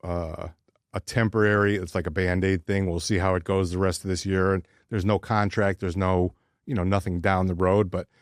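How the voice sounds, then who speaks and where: Man, sports podcast co-host. Lower-pitched, casual, blunt and wry, dry close sound with no room. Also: level tone, moderate pace, no room sound.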